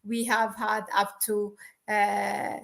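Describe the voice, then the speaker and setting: A woman from Ethiopia hosting an interview in English, her first language being Amharic, talking hesitantly with many pauses. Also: mid-range voice, flat delivery, vocal fry, a bit bright. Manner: hesitant, many fillers and restarts. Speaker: host.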